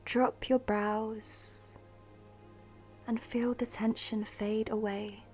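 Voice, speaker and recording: Female meditation guide: mellow, breathy half-whisper, even pitch, soothing scripted delivery with long pauses. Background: music.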